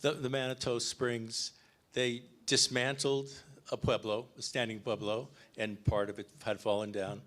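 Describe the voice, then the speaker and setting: A man's voice: mid-range voice, flat delivery, measured pace, a bit bright. A man moderating a lecture Q&A, prompting the audience for questions and adding a short story of his own. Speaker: event moderator.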